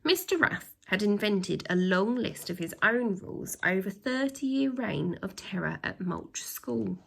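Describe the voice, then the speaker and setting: A woman's voice: medium pitch, lively intonation, unhurried, gravelly, fairly bright tone, slightly rough. A woman reading a children's story aloud expressively, speaking directly to young listeners with chatty asides. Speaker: storybook reader, teacher.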